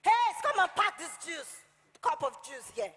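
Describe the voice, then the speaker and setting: Woman acting a bossy scolding madam, stage play, really animated high voice, echoey hall. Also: normal speed, soft background music, full character voice. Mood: angry, bossy. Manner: mocking, scolding, theatrical. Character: bossy, exasperated married madam scolding her male servant.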